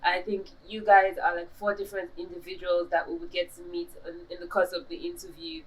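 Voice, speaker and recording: A woman's voice: on the low side, flat delivery, fairly quick, poor audio. A woman with a Nigerian accent who hosts a podcast and interviews her guests in a playful, chatty way. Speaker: podcast host.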